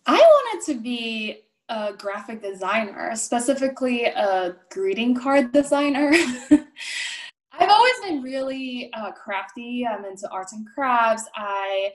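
A woman's voice: on the high side, very animated, measured pace. A young woman, a food blogger, chats as a guest on an interview show. She talks thoughtfully and loosely, with plenty of fillers and small restarts. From Taiwan. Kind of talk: casual interview conversation.

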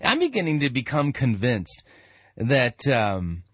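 A man speaks as a radio host in a dry, close recording. His commentary is informal and slow, with drawn-out, theatrical emphasis. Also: medium pitch, huge pitch swings, a bit bright, slightly rough, dry recording.

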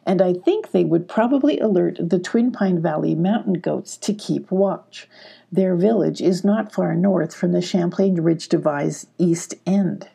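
A woman voicing an emotional young female fox in a storybook reading, high and pleading. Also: measured pace, vocal fry, dark tone, slightly rough, full character voice. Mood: hopeful.

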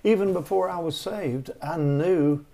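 A middle-aged man tells stories in a folksy, rambling way. He restarts his sentences often as he goes. His voice sits a little on the high side. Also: measured pace, warm tone. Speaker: guest. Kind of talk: storytelling conversation.

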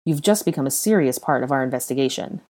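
A composed young investigator played by a woman reader in a novel. The delivery is low, steady and stoic, polite but firm. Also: quick pace.

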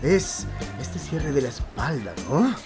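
Woman as smitten awkward man, very low voice, slow, swooping intonation, radio parody. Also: lively intonation, exaggerated voice. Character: Steve, amorous, awkward, easily smitten man. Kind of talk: campy parody radio theatre acting. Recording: loud music behind the voice.

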